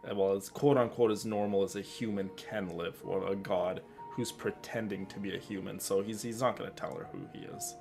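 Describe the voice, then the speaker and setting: A man's voice: on the low side, fairly even intonation, fairly quick, vocal fry, few pauses, over music. A man giving casual commentary as he plays a game, repeating phrases for emphasis. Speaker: gaming streamer.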